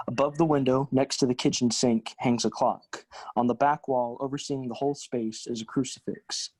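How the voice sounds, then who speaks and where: A man reading stage directions as scripted narration, in measured, neutral, deliberate phrases. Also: mid-range voice, a little mellow, dry recording, rough recording.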